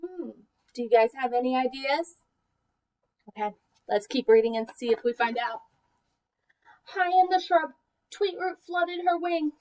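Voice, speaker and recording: High-pitched woman reading to young children. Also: distant mic, low-quality recording. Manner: expressive, deliberate teacher talk aimed at young children. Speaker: teacher reading a picture book aloud to children. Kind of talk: children's read-aloud with questions to the listeners.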